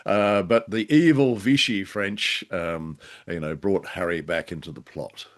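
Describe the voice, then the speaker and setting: A man co-hosting a podcast, discussing and reviewing in a genial, halting, hesitant way. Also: low-pitched. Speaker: podcast co-host.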